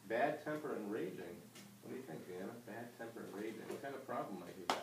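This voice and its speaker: A man's voice: on the low side, animated, quick pace, creaky. A man teaching a class, reading a story aloud and putting questions to his pupils. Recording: roomy distant mic with background noise.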